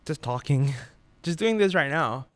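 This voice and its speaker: A man working as a radio DJ, chatting as a podcast guest in an animated, expressive way. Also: a little high, unhurried, no room sound. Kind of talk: casual podcast interview.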